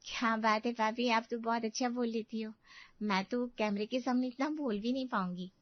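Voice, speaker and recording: A woman's voice: on the high side, fairly even intonation, normal speed, no room sound, lightly acted. A woman voicing a naive, earnest young unmarried girl in a cartoon story. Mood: admiring. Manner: soft, earnest. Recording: dull dry recording.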